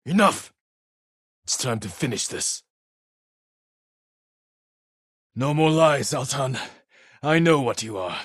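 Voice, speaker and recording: An actor playing a solemn, resolute samurai warrior in a video game, speaking in a measured, earnest, formal way. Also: male voice, mid-range voice, lively intonation, a bit bright, husky, no echo, studio quality. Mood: shouting, commanding. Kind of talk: dramatic video game voice acting.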